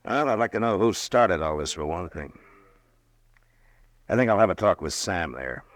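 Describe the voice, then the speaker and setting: Laconic western marshal, very low voice, American accent, dry, steady, old-time radio drama. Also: male voice, no echo. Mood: resolute, calm. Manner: dry, understated, unhurried. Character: laconic, steady, dryly skeptical frontier U.S. marshal.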